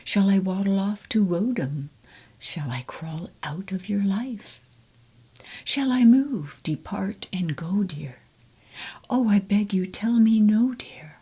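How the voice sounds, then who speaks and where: A woman voicing a lovesick male turtle who pleads softly in a whisper. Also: medium pitch, animated, takes it slowly, husky, pitched above the performer's own voice, exaggerated voice. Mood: tender pleading.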